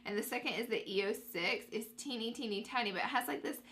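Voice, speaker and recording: A woman's voice: a little high, fast, background noise. A young woman with a stuffy nose from allergies, chatting upbeat through a makeup tutorial for her viewers. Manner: upbeat, addresses viewers as guys. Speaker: beauty vlogger.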